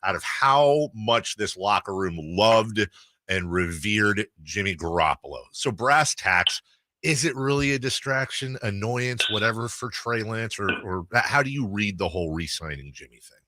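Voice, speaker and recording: A man's voice: on the low side, expressive, moderate pace, bright, forceful tone, no room sound. A man hosting a sports radio show, interviewing in a conversational way.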